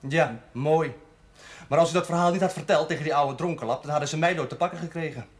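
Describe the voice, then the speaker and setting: A man acting a young male prisoner in a TV drama, spiteful and defiant. His voice sits a little high, and he talks in a sarcastic, mocking sneer. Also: quick pace, natural voice. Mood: menacing. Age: young adult.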